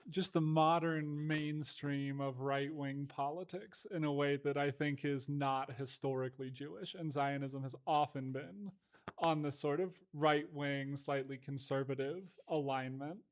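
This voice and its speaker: A man in a casual conversation, reflective and measured, hedging often with "like". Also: fairly high pitch, fairly even intonation.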